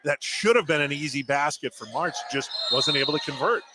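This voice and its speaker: A man's voice: fairly high pitch, animated, fairly quick, noisy background. A man giving color commentary and analysis during a live basketball radio broadcast.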